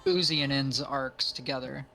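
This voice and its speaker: Bright-voiced man chatting over music. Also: mid-range voice, moderate pace, gravelly, rough recording. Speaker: streamer chatting with friends. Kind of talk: casual chat. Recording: music behind the voice.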